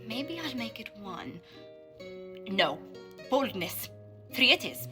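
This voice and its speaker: Genteel, slow-paced lady ambassador. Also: a little high, sing-song, music in the background. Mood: coy, playful. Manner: polite, coy, formal. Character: genteel, playful lady ambassador and card player. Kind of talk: video game cutscene acting.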